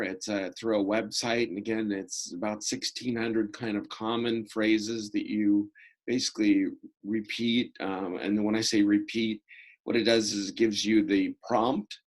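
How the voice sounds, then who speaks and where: A middle-aged man speaks in a fairly low voice as an interview guest. He explains things in a measured way, often pausing mid-thought before carrying on. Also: fairly even intonation, rough recording. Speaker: interview guest, school prevention programs coordinator. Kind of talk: conversational interview answers.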